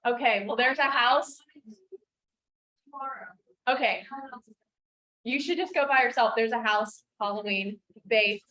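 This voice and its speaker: A young woman on a student club board, loud and bubbly, chatting casually at a club meeting. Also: on the high side, slow pace, pauses often, low-quality recording.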